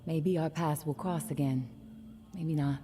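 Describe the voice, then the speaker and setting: An actress playing a calm, guarded survivor of a zombie apocalypse in a video game, speaking in a measured, earnest way. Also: female voice, deep voice, slight background noise. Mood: wistful, earnest.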